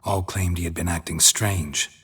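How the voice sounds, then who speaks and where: An actor playing a gruff, world-weary monster hunter in a fantasy game, gravelly and husky, speaking in clipped, deadpan lines. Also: male voice, sits low, normal speed, heavily acted. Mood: dry, matter-of-fact. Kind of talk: fantasy video game dialogue.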